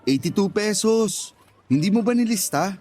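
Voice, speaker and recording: A man's voice: high voice, expressive, normal speed, darkish tone, soft background music. An actor playing an oblivious, shy, good-natured young male customer in a Tagalog radio drama, innocent and puzzled. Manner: innocent, puzzled, plain-spoken.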